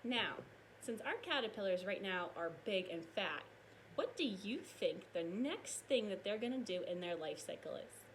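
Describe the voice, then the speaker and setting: A woman teacher reading aloud and explaining science to young children in an expressive, explanatory way. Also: medium pitch, unhurried, a little hiss or hum, rough recording.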